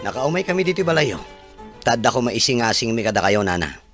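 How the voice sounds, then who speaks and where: A man's voice: mid-range voice, sing-song, a little fast, a bit bright, soft background music. A man with a Filipino accent acting a frank engineer advising a friend, in a radio drama. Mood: persuasive.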